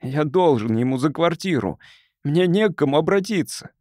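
A man's voice: fairly high pitch, expressive, normal speed, darkish tone, no echo, clean studio sound, voice pushed up, close to an everyday voice. A man voicing a desperate, hungry, bitter unemployed man in an audiobook, pleading for help. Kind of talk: audiobook character dialogue.

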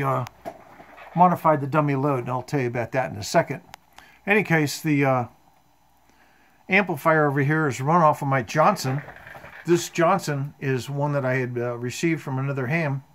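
A man's voice: medium pitch, fairly bright tone, slight background noise. A man in his seventies giving an informal, matter-of-fact technical show-and-tell of his homemade radio equipment in a workshop. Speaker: amateur radio hobbyist. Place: indoor home workshop.

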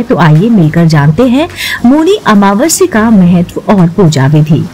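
A woman hosting a devotional channel, reading a religious explainer as a voice-over in a steady, instructive way and calling her viewers friends. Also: mid-range voice, low-quality recording. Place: voice-over over stock footage.

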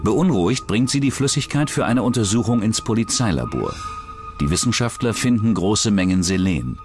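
Male narrator, scripted true-crime documentary, lowish voice, lively melody, soft music underneath. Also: normal speed.

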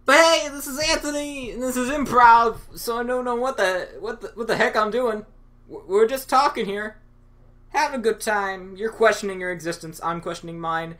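A man's voice: very high voice, normal speed, a bit bright. A young man hosting his own channel, rambling through an improvised, absurd and sarcastic monologue with lots of stammering. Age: young adult. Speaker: channel host.

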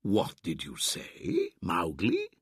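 Patient old storybook bear, slow and lively in pitch; male narrator voicing it. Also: husky, no room sound, clean studio sound, exaggerated voice. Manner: slow, deliberate, warmly instructive.

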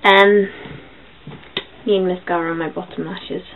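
A young woman with a croaky voice, chatting casually through a makeup tutorial in her bedroom, with filler ums as she goes. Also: on the low side, level tone, a little slow. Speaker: beauty vlogger. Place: small bedroom. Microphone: built-in webcam mic. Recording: tinny lo-fi webcam audio, faint hiss.

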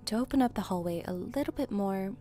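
A woman narrating a tour of her own home in a calm, scripted voice-over. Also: mid-range voice, fast talker, faint music behind. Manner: calm, even, tidy tour-guide delivery. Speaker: homeowner presenting a house tour.

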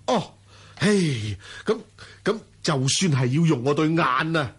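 An actor playing a lecherous, ruthless wealthy merchant in a radio drama, husky and swaggering. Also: male voice, fairly high pitch, sing-song, measured pace, full character voice. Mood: eager. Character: lecherous, wealthy male merchant plotting murder, eager and ruthless. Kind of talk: Cantonese radio drama acting.

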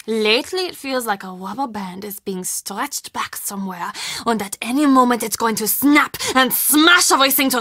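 An earnest woman who manages a castle, with a fairly high, bright voice. She talks conversationally and expressively, confiding yet quick to defend herself. The recording is dry and close. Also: no room sound, heavily acted. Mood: uneasy. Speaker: video game character. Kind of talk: video game dialogue acting.

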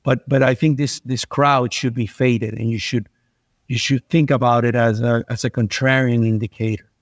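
A man's voice: medium pitch, steady tone, moderate pace, no room sound, clean studio sound. A middle-aged man from Venezuela, a guest investor on a podcast, talking things through with frequent restarts and repeated words. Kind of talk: conversational podcast interview.

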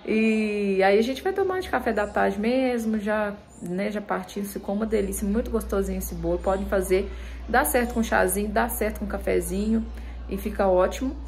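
A woman's voice: medium pitch, moderate pace, gravelly. A young woman with a Brazilian Portuguese accent chatting casually to her phone camera in her home kitchen, with many little tag questions. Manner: chatty, relaxed, with many "né" tags. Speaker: vlogger. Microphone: phone held close, selfie framing.

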